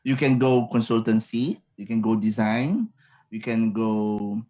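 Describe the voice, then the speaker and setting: A man with a Filipino accent giving a webinar talk over a video call, halting and repeating words as he mixes English and Tagalog. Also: lowish voice, dark tone, dull audio. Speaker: guest speaker, engineer. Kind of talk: webinar lecture. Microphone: computer mic on video call.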